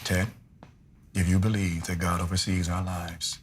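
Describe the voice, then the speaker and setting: A low-pitched man of the church, urging with earnest conviction. He speaks persuasively, as in a film drama, over faint background ambience. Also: level tone, a little slow, slight background noise.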